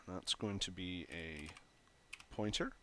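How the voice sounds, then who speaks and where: Male tutorial host, low-ish and unhurried, phlegmy throat, casual coding walkthrough. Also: background noise. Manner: thinks aloud while typing, frequent fillers and self-corrections. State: phlegmy, clearing his throat. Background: keyboard typing and clicks.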